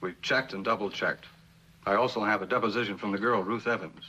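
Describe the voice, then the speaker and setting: A district attorney's businesslike assistant in a 1960s television drama. He talks quickly in a bright, forceful, fairly low voice. The delivery is plain and matter-of-fact. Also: steady tone, lo-fi sound, slight background noise. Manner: brisk, matter-of-fact reporting. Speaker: actor as prosecutor's aide.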